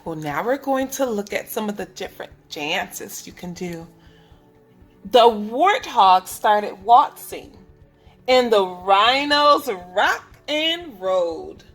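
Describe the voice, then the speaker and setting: A woman teaching preschoolers, reading a picture book aloud in an instructive way, speaking clearly to children and asking them questions. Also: medium pitch, animated, slow pace, faint music behind. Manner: instructive, asks the listeners questions, enunciates for children. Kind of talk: read-aloud lesson for young children.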